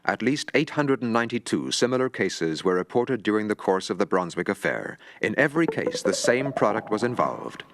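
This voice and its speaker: Deep-voiced man narrating gravely. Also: sing-song, brisk, few pauses. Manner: grave, dramatic. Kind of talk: mock-documentary narration. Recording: music underneath.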